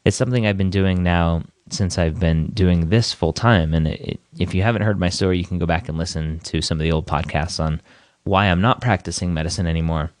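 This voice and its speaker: A man's voice: low voice, a little fast, dry recording, studio quality. A man hosting a podcast, introducing interviews in an upbeat, encouraging way. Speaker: podcast host.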